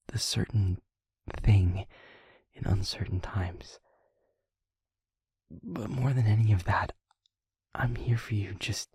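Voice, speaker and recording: Casting: a man to play a gentle, protective vampire boyfriend for audio roleplay; low, soft, husky near-whisper, earnest and intimate. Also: no room sound, exaggerated voice. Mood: tender, reassuring. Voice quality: breathy, husky, half-whispered. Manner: slow, halting, tender, earnest delivery. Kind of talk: intimate audio roleplay, ASMR-like scripted acting.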